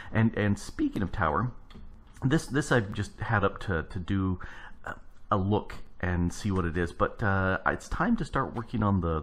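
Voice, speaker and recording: Low gravelly man narrating gameplay. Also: fairly bright tone. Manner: rambling, thinking aloud while building. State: gravelly early-morning voice. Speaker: let's play host. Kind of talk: casual gameplay commentary.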